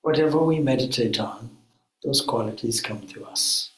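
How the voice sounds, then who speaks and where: A man leading a guided meditation as a spiritual teacher, speaking calmly in measured phrases with frequent pauses. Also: mid-range voice. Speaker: swami, guided meditation leader. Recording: echoey room.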